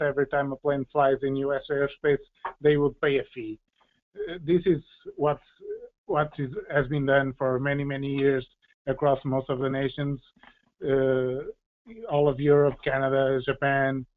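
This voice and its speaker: Male policy expert, even mid-range delivery, halting explanatory answers, heard through a narrow phone-like line. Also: mid-range voice. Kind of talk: explanatory panel Q&A. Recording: tinny, phone-like band-limited audio.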